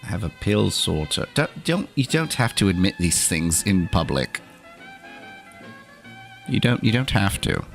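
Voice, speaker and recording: A man's voice: deep voice, sing-song, a little slow, gravelly, long pauses, faint music behind. A man hosting an in-character livestream, talking theatrically in a put-on accent with dry, opinionated asides. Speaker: streamer host.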